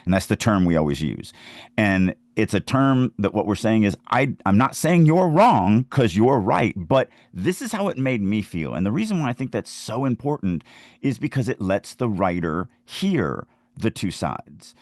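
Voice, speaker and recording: A man's voice: on the low side, big pitch swings, normal speed, a little mellow, no room sound. A man hosting a podcast and teaching about writing in casual, emphatic, insistent talk. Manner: emphatic, insistent, stresses key words.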